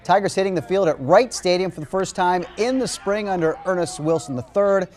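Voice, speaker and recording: A man with an American accent delivering a punchy, emphatic TV sportscast over highlights. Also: fairly quick, darkish tone. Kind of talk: TV sportscast commentary, scripted narration over highlights.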